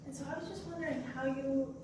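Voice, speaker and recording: A woman's voice: high voice, fairly even intonation, fast talker, background noise. A woman in an audience offering spontaneous praise and asking a question. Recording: echoey distant mic, loud crowd.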